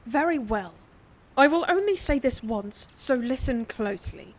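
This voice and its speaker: A woman voicing a stern, prim noblewoman attendant in a fantasy audio drama, sharp and scolding. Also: a little high, lively intonation, a little slow, rough recording. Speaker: princess's attendant.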